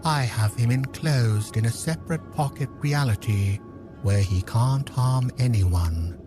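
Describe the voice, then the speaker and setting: An actor playing a grave, smug, scheming villain in an audio drama, measured and portentous in manner. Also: male voice, mid-range voice, music in the background, natural voice. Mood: cold, gloating. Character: scheming male manipulator, grave, smug, menacing.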